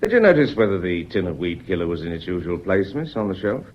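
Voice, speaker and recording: A very low-voiced British police inspector, his delivery swooping widely in pitch. He is polite and probing, with a studied offhand manner, in radio mystery style on a dull recording. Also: very animated, fast talker. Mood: slyly casual. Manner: polite, probing, feigned casualness, hesitant asides. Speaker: actor in a radio mystery drama. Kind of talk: British radio drama acting.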